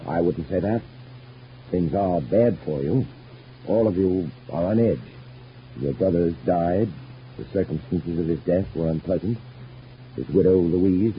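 Actor as low-voiced, placating doctor, old radio. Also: male voice, lightly acted. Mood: calm, placating. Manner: measured, placating, reasoning. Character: patient, reasonable male family doctor, quietly concerned. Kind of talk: old radio soap opera acting. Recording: dull, noisy old radio recording.

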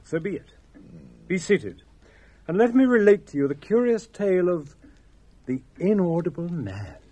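A man's voice: high voice, sing-song, moderate pace, warm tone, no echo. A man narrating and playing a pompous Victorian doctor in a comic radio play. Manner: pompous, theatrical. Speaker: narrator and lead actor.

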